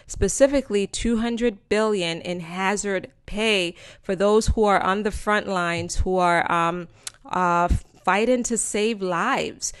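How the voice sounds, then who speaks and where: A woman's voice: medium pitch, clean tone, no echo. A woman with a Jamaican accent hosting a casual explainer over slides, chatting to viewers as friends with plenty of ums. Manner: chatty and informal, addresses viewers as friends and guys, many ums. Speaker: host of a channel explaining news to viewers.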